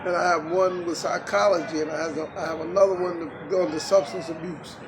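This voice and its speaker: A man's voice: sits high, steady tone, a little fast, crowd noise. Earnest older man giving life advice. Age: 60s. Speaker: interview guest, Marine veteran. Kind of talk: casual interview. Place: outdoors in a city.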